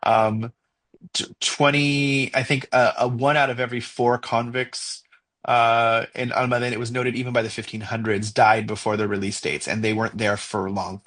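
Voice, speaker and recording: A young man with a Mexican accent, co-hosting a casual podcast discussion, talking in a halting way with many fillers and restarts as he thinks aloud. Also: medium pitch, little pitch movement, fairly bright tone. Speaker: podcast co-host.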